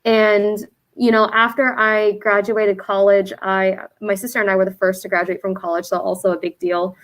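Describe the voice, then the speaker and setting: A woman from the United States whose first language was Vietnamese, a brand founder answering interview questions in an earnest, reflective way with soft fillers. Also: medium pitch, flat delivery, normal speed.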